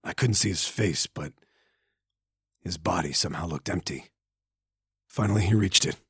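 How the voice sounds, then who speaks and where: A man's voice: low voice, moderate pace, fairly bright tone, breathy edge, dry recording, heavily acted. An actor playing a haunted man who painfully narrates a traumatic memory in a video game. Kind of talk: dramatic first-person game narration.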